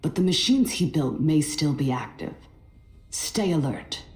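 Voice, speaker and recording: A woman's voice: sits low, lively intonation, a little slow. A woman playing a wary, earnest adventuring companion in scripted fantasy game dialogue. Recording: echoey, faint music behind.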